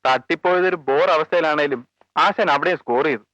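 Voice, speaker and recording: A man's voice: fairly high pitch, brisk, a bit bright, no echo, lo-fi sound, lightly acted. A man playing a teasing, joking friend of a police officer in a 1980s film drama. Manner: teasing, playful.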